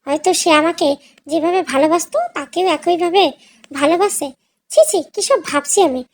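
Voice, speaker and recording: A woman with an extremely high voice narrates an emotional story in the first person. Her delivery is scripted, dramatic and sorrowful. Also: moderate pace, vocal fry, a little mellow.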